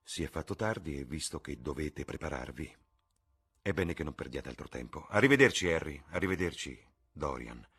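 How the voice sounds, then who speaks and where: A man's voice: extremely low pitch, lively intonation, normal speed, fairly bright tone, husky, heavily acted. Man doing a melancholy, earnest painter. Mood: pleading. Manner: grumbling, sad, pleading. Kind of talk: audiobook character voice.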